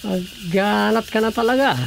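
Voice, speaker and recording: A good-natured family man speaks in a fairly high voice with an Ilocano Filipino accent. He talks in radio drama style, questioning and advising, over faint background noise. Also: moderate pace, gravelly, fairly bright tone. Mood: concerned, friendly.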